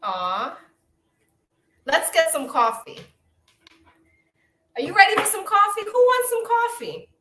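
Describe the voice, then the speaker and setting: A woman's voice: extremely high pitch, wildly swooping pitch, slow, pauses often, reverberant, background noise. A middle-aged woman with a Brooklyn New York accent, chatting casually while giving oracle card readings on a live stream. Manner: chatty, warm greetings to viewers, frequent hearty laughs.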